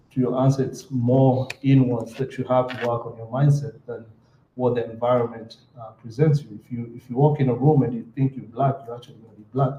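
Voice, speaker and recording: A man's voice: medium pitch, mellow, slight background noise. Kenyan-accented man on a panel. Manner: earnest, repeats words as he builds sentences. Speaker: panelist entrepreneur. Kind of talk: panel discussion answer. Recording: roomy, slightly dull hall sound.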